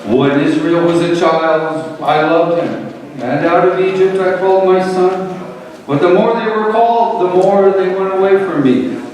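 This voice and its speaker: A man acts out an aging, tender father whose high-pitched voice carries wounded, pleading emotion. He speaks theatrically, as in a staged parable reading, from far off in a very reverberant hall. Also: measured pace, a little mellow. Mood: mournful. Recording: echoey hall, distant mic.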